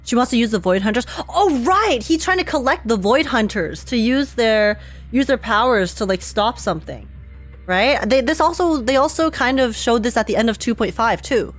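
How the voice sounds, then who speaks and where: A woman giving casual live reaction commentary on a game, giggly and rambling as she thinks out loud. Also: fairly high pitch, lively intonation, moderate pace, faint music behind. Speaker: streamer reacting to a game story.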